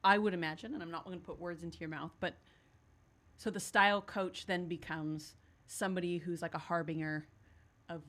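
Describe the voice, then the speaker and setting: A woman's voice: on the low side, fairly even intonation, a little fast, a bit bright. A woman hosting a podcast, asking questions in a playful, enthusiastic way and backing up her guest with constant yeahs. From not from New Zealand. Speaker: podcast host. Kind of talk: casual interview chat.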